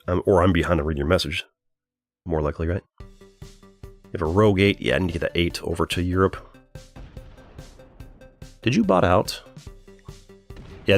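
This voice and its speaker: A young man with a deep voice casually commenting on his strategy game live, thinking aloud and answering chat. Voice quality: deeper voice than usual. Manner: chatty, thinks aloud, reads chat and laughs often. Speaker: streamer.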